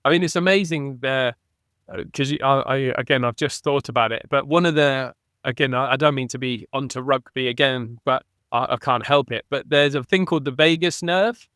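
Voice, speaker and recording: A man who played rugby, a podcast guest reflecting conversationally and thinking aloud with restarts, getting animated on key points. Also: medium pitch, moderate pace, no echo, studio quality. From England.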